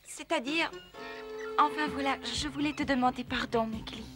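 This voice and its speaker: A woman's voice: sits high, over music, close to an everyday voice. A woman voicing a contrite young wolf in a French cartoon dub, speaking hesitantly. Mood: apologetic. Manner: hesitant, halting.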